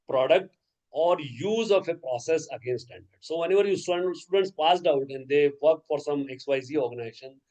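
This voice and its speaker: Man, first language Urdu, slightly high-pitched, explanatory online lecture, video call. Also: a little fast, a little mellow, poor audio. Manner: explanatory, frequent "okay" and "right?" check-ins. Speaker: workshop resource person.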